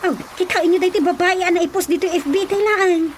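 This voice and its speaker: High-voiced, nagging Ilocano woman. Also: brisk, a little hiss or hum. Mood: alarmed. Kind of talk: radio comedy drama acting. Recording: music behind the voice.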